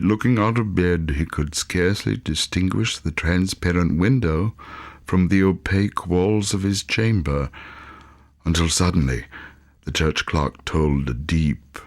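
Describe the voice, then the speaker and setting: A man narrating an audiobook as dramatic storytelling, with theatrical, deliberate phrasing. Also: low voice.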